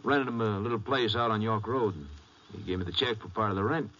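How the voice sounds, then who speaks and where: An actor playing a polite, helpful small-town broker in an old-time radio western. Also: male voice, on the low side, fairly quick, a bit bright, natural voice. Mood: obliging. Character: obliging small-town male real estate broker. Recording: noisy lo-fi old radio recording.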